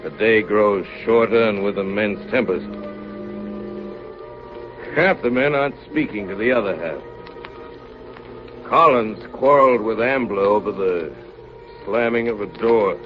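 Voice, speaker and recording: Deep, grave expedition narrator played by a man, old radio. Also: natural voice. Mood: somber, measured. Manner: measured, somber. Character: grave ship's officer narrating an Arctic expedition log. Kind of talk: 1930s radio drama narration. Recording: dull 1930s radio broadcast with music.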